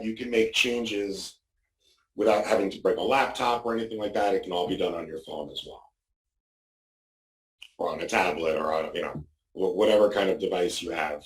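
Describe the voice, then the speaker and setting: A man's voice: on the low side, darkish tone. A man giving an informal, explanatory product demo as a walkthrough, often punctuating his points with "okay". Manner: explanatory, frequently checking with "okay". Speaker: product demonstrator. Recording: roomy echo, distant microphone.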